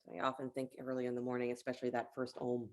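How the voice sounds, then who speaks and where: A woman teaching a guided yoga class, giving step-by-step movement cues in a conversational, encouraging manner with a creaky voice. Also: very deep voice, completely flat delivery, racing, darkish tone.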